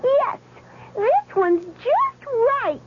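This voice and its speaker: High prim fairy-tale taste tester, very lilting, old radio ad, tinny sound. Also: female voice, very animated, extremely slow, heavy background noise, heavily acted. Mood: prim, pleased. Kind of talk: 1970s radio commercial acting.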